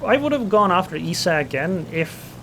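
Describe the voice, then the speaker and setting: A man's voice: high voice, big pitch swings, normal speed, pushed and bright, a little hiss or hum. A man gives casual live commentary over a naval battle game, thinking aloud about his next moves. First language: Estonian. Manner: thinks aloud, muttering plans and small reactions. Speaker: gameplay commentator. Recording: game sounds under the voice. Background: game engine and gunfire sounds.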